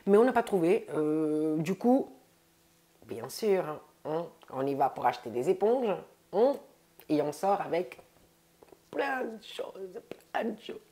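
A woman in her thirties with a stuffy nose from a cold, chatting casually to her viewers about her groceries in a bright room, recorded on a lapel mic. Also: on the low side, very animated, slow pace, long pauses. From France. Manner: chatty, says "les amis" often, upbeat. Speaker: vlogger. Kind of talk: casual grocery haul vlog. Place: bright room indoors by a window.

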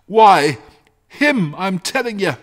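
A man reads as a fretful rustic shepherd from a storybook. The voice is pitched high and swoops about with agitation, rambling on like a frightened countryman telling his tale. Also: sing-song, slow, full character voice. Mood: nervous, confiding. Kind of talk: storybook character reading.

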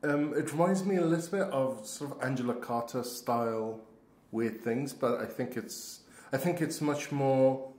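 A man hosting a podcast in casual, halting talk with a dry, teasing streak. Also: mid-range voice, a little mellow. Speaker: podcast host. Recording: roomy, echoing sound.

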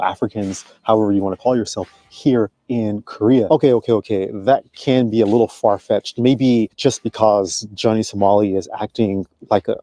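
A middle-aged man vlogging outdoors, holding the camera at arm's length as he speaks. His voice is low and mellow, and the sound is close and dry. He talks in a measured, deliberate way. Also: dry recording. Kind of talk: vlog commentary. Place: outdoors in a park.

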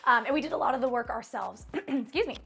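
A woman's voice: a little high, fast, creaky, over loud music. A woman in her thirties, an interior designer, chatting upbeat and casual as she walks through her house, laughing often. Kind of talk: casual home tour chat. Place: bright home interior rooms.

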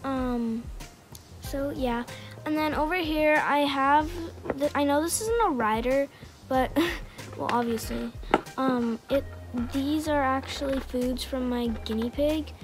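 Young woman, high voice, slow rambling chat, phone camera at home, clear music behind. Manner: rambling, with many ums and restarts. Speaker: toy horse collector. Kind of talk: casual show-and-tell tour. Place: indoors at home, beside a toy stable on the floor. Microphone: handheld phone camera.

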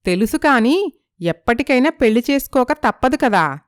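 A woman playing a sympathetic, gently persuasive young female friend in an animated moral story. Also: on the high side, normal speed, no echo, studio quality. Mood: persuading.

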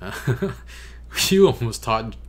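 A man in his twenties giving casual, amused reaction commentary in a halting way, at a desk mic in a bedroom. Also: medium pitch, big pitch swings. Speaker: reaction video host. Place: small bedroom. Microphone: desk microphone close by. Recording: faint background hum.

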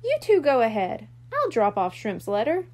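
A woman's voice: on the high side, wildly swooping pitch, normal speed, a little mellow. A woman voicing a cheerful, dutiful young girl cat-mermaid in a children's storybook, eager and playful. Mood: helpful.

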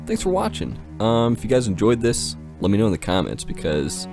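Deep-voiced man doing sweary gameplay commentary. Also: huge pitch swings, a little fast, vocal fry, over music. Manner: sweary, joking, slangy. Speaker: gaming video host.

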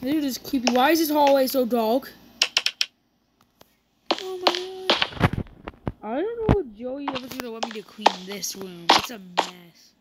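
A woman chatting in character during a casual game roleplay, breaking into excited exclamations. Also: sits high, measured pace, pauses often, heavy background noise. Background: loud game music.

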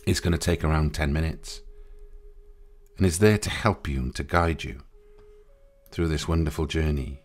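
A man guiding a meditation in a soothing, unhurried way, pausing between short phrases. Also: very deep voice. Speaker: meditation guide. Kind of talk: guided meditation. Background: music.

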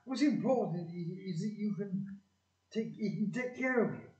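Earnest, faltering dad for community audio drama, played by a man; higher-pitched voice, echoey room. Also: darkish tone. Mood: tender, earnest. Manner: hesitant, halting, earnest. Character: gruff, tender working-class father to a grown daughter. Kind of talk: amateur community audio play. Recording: distant, echoey, dull hall recording.

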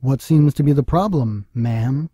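A man's voice: medium pitch, unhurried, mellow, breathy edge, dry recording. A man playing an awkward, bewildered fellow pretending to be a cowboy in a comedic audio drama, hesitant in speech. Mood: baffled.

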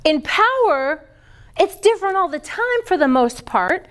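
A middle-aged woman teaching a yoga teacher training class, lively and quizzing her students with questions. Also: very high voice, measured pace. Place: large wooden-floored yoga studio. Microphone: far camera.

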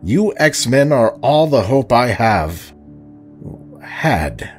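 A man playing a remorseful middle-aged space pirate, earnest and halting, in an audio drama. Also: mid-range voice, very animated, soft background music.

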